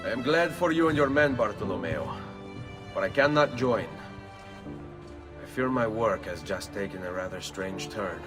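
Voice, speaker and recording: A brooding young assassin from a video game , acted by a man, with a low voice and an Italian accent. He speaks gravely and earnestly, a little away from the mic, with music clearly behind him. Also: animated, moderate pace, distant mic, close to an everyday voice. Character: brooding, vengeful Italian assassin in his late twenties. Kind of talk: video game cutscene acting.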